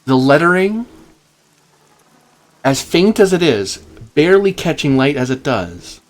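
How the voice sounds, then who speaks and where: A man narrating a tabletop game as game master, in a halting, deliberate way with pauses. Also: a little high, big pitch swings.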